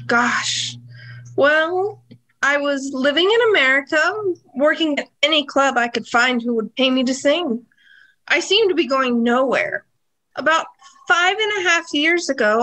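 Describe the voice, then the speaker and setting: A woman's voice: high voice, unhurried, pushed and bright, rough recording. A woman playing an eager American club singer in an amateur radio mystery, earnest, polite and a little gushing. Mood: earnest, wistful.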